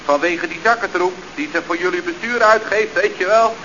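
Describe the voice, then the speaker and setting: A man's voice: high-pitched, fairly quick, pushed and bright. An actor playing a chatty, slangy youth-club leader who reports deferentially and glibly to his boss in a radio comedy. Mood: breezy. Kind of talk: Dutch radio comedy acting. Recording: dull, noisy old radio recording.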